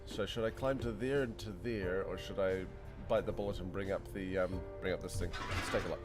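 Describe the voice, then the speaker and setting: A man's voice: sits low, fairly even intonation, fairly quick. Chatty man streaming over game music. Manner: chatty, rambling, laughs often, talks to chat. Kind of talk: casual gameplay commentary.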